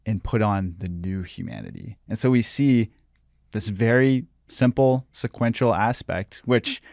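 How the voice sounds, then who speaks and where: Young man, low voice, dry sound. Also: animated, unhurried, creaky, darkish tone, no room sound. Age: 20s. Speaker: podcast co-host.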